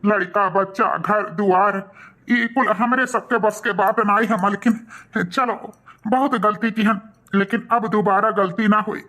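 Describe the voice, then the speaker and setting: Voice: medium pitch, fairly even intonation, measured pace, pushed and bright. A voice actor playing a remorseful village husband and son in a cartoon, earnest and humbly pleading, with an Awadhi accent. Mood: pleading, apologetic.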